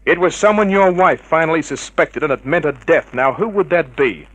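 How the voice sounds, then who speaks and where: An actor playing a tough, cynical private investigator in a 1950s radio crime drama, clipped and hard-boiled. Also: male voice, a little high, moderate pace, a bit bright, rough recording. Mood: confrontational. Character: tough, cynical male private investigator narrating his case.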